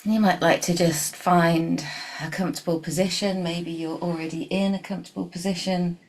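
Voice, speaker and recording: A woman leading a guided meditation in a soft, gentle, unhurried way, leaving long pauses and at times dropping toward a breathy whisper. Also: on the low side, fairly even intonation, low-quality recording.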